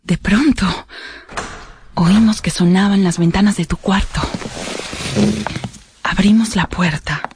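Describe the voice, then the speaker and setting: An actress playing a timid, lovesick young maiden in a radio drama, hesitant, breathy and emotional. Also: female voice, mid-range voice, takes it slowly, pauses often, darkish tone, slight background noise, natural voice. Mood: hushed dread. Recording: music under the voice.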